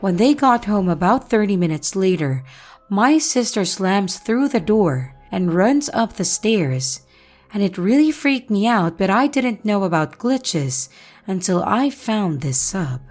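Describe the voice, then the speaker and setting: A woman hosting and narrating creepy first-person stories in a slow, expressive way. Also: medium pitch, faint music behind. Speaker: channel host and narrator.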